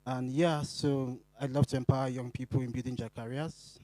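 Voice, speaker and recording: A man's voice: mid-range voice, fairly even intonation, normal speed, warm tone, dry recording. A man from Nigeria with a Nigerian accent, giving a friendly, upbeat introduction as a recruitment panelist.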